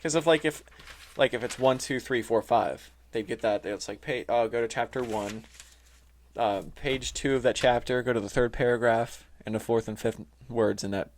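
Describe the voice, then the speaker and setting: A man explaining things casually as a podcast host, halting and informal, often saying "like". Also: medium pitch. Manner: informal, halting, heavy use of "like". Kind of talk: casual explainer talk reading from notes. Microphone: close, touchy microphone.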